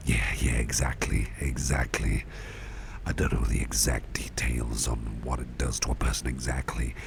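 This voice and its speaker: A man playing a caring, protective boss in an ASMR roleplay, speaking in a gentle, breathy near-whisper with reassuring, hesitant pauses. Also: level tone, heavily acted. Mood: gentle concern. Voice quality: breathy near-whisper with heavy low creak. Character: caring, protective male boss tending to his employee. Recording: close recording with clear background noise.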